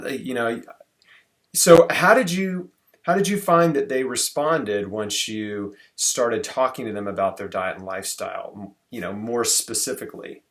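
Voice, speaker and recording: An American man with a medium-pitched voice hosts an interview in a casual, conversational way. He is speaking over a video call from a room at home. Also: a bit bright. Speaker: interview host.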